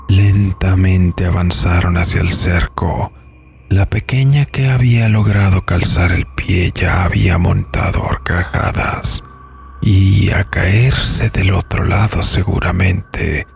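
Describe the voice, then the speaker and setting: A man's voice: low voice, vocal fry. A man narrating a scripted horror audio story as its host.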